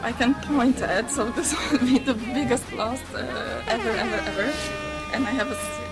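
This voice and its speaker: A woman with a Polish accent giving a teasing, halting farewell message to a colleague, reading from a small card. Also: a little high, music in the background, rough recording. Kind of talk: casual farewell message to camera.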